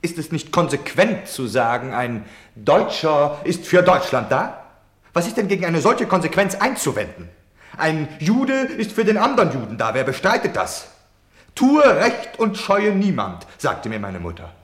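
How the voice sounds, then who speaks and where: Defiant, wildly dynamic German ideologue. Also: male voice, fairly high pitch, sing-song, normal speed, roomy sound. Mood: fanatical, rising. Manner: theatrical, declamatory, swinging from hushed confiding to shouting. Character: defiant self-justifying German man, former Hitler Youth member. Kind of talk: postwar German radio play acting.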